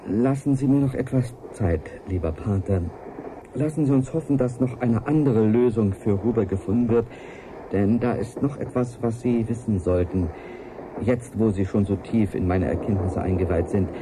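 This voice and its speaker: Clear vehicle-like noise runs behind a male actor as a solemn, visionary scientist in a radio play. His voice is low, and he confides in earnest, measured, formal sentences. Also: natural voice. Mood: confiding. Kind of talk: German radio play monologue.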